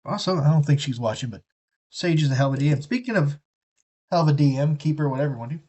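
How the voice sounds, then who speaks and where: A man's voice: a little high, expressive, fast, mellow. A man chatting casually on a live stream, rambling and profane as he talks. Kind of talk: casual rambling chat while building a kit.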